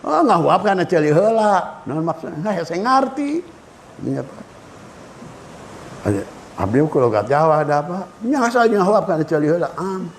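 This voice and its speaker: An older man with a Sundanese accent giving a religious lecture to students, lively and switching between languages as he acts out anecdotes. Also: a little high, moderate pace, slight background noise. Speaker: Islamic cleric.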